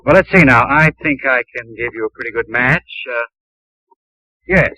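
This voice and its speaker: An actor playing an earnest, friendly young shoe store clerk, polite and animated, in a 1950s radio drama with dated broadcast sound. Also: male voice, medium pitch, normal speed, no echo, close to an everyday voice. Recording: dull vintage radio broadcast.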